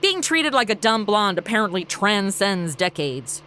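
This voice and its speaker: A woman's voice: mid-range voice, sing-song, a little slow, pushed and bright, a little hiss or hum. A woman playing a brash, flippant young woman from the future in a comedy audio drama, with breezy, sarcastic modern slang. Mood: casual, wry.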